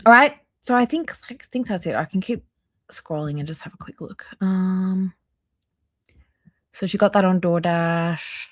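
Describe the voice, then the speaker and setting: Lower-voiced woman hosting a casual livestream. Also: a little slow, no echo, studio quality. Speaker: livestream host. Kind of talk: casual reaction livestream, reading a transcript aloud with asides.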